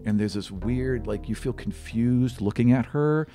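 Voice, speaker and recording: A man narrating a tabletop role-playing game as game master, in a casual, halting, conversational storytelling way. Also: medium pitch. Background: music.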